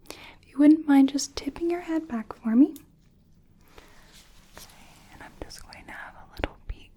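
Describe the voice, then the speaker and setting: Softly whispering, unhurried woman playing a gentle clinician. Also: fairly high pitch. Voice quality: soft, breathy, often whispering. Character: gentle, attentive female clinician giving a check-up. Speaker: ASMR roleplayer playing a medical examiner. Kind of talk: soft-spoken ASMR medical roleplay.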